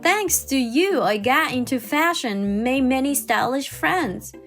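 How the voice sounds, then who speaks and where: A woman's voice: sits high, expressive, measured pace, a bit bright, heavily acted. A woman playing a kindly, chatty older mother-in-law, polite and effusive, in a manga dub drama. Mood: affectionate. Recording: music behind the voice.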